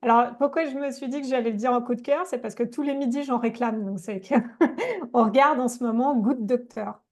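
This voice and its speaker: Giggly woman with a higher voice. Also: normal speed, darkish tone. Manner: giggly and chatty. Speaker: podcast guest. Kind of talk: casual chat.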